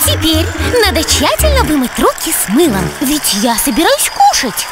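A woman's voice: high voice, wildly swooping pitch, normal speed. A woman voicing a tidy, proper young girl piglet in a children's cartoon, prim and lecturing. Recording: loud music behind the voice.

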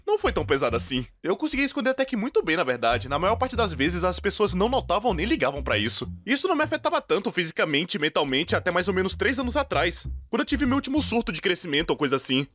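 Young Brazilian male narrator for comedic animated storytelling, highly varied pitch, expressive and exaggerated delivery. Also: fast, few pauses.

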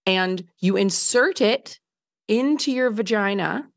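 A woman in her thirties, hoarse from a recent illness, telling a story on a podcast in a casual, filler-heavy way, laughing often. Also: mid-range voice, slow pace. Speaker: podcast co-host. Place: home living room by windows. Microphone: large studio mic on video call.

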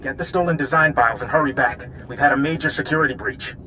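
Guy playing a brisk military agent in a game cutscene, clipped and businesslike, mid pitch, noisy background. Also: bright, forceful tone, close to an everyday voice. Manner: clipped, businesslike briefing delivery. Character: brisk military intelligence agent, serious grown man. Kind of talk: video game cutscene acting.

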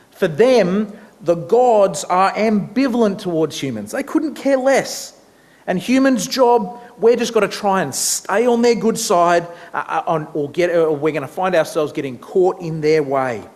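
High-voiced Australian preacher in a hall. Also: male voice. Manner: animated, big hand gestures while preaching. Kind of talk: sermon. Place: church hall with long reverb. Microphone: stand mic at a lectern. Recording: reverberant hall.

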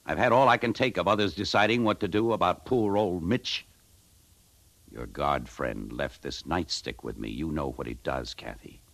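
A man's voice: deep voice, moderate pace, vocal fry, fairly bright tone, no echo, heavily acted. An actor in a 1950s radio drama playing a weary, disillusioned advertising man who tells his story in a hardboiled, reflective way. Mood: bitter, commanding. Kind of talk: 1950s radio drama acting and first-person narration.

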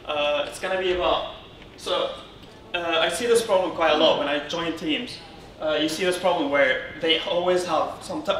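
A man from Syria speaks to a conference in an informal, halting way, restarting his phrases. His voice sits a little high and has a bright, forceful edge. It is picked up from a distance in a roomy space. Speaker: conference speaker, software consultant. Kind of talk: informal technical conference presentation. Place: indoor darkened conference room, on stage beside a lectern. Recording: roomy, distant, noisy room sound.

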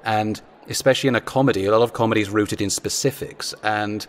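A man's voice: on the low side, steady tone, quick pace. A talkative man chatting as an interview guest, self-deprecating, recorded with reverberant room sound. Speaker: comedy writer, podcast guest. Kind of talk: casual interview chat.

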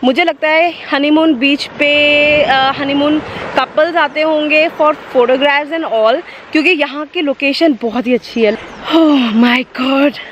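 A woman's voice: high voice, slow pace, highs cut off. A woman in her thirties with an Indian accent, chatting casually to her phone camera on a boat and a beach, mixing Hindi and English. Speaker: vlogger, mother. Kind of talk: casual travel vlog chat. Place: outdoors on a small boat and a beach. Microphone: phone held at arm's length. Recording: loud boat engine and wind noise.

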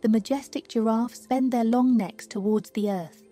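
Soft, mellow woman narrating sleep meditation. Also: on the high side. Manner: slow, soothing, hushed delivery. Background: music.

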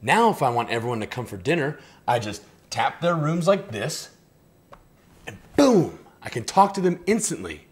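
A man's voice: medium pitch, big pitch swings, normal speed. A man in his thirties doing an upbeat, scripted comedic product presentation in a salesman's delivery. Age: 30s.

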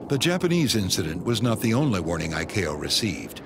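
A man's voice: fairly low pitch, very animated, fairly quick, background noise. A man reading scripted, dramatic narration as the narrator of a documentary. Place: voice-over booth. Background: background score music.

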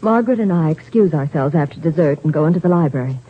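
An actress playing an anxious, spirited sweetheart of the hero in a 1950s radio drama. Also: female voice, sits low, expressive, fast. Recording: dull, noisy old radio recording.

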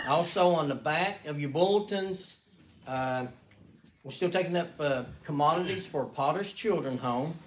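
A middle-aged man making relaxed, joking church announcements from a pulpit, with many filler words. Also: fairly high pitch, a little hiss or hum. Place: small wood-paneled church sanctuary. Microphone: stand mic at pulpit, far camera.

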